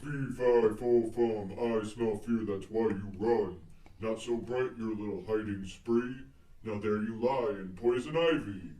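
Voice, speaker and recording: A man acts out a hulking, menacing villain of a radio play. His dark, lowish voice intones pompous rhymed threats. It sounds distant from the mic in an echoing space. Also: lightly acted. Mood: taunting. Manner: slow, pompous, chanting in rhyme. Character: menacing male captor speaking in riddling rhymes, Bigfoot-like villain. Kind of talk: radio drama villain acting.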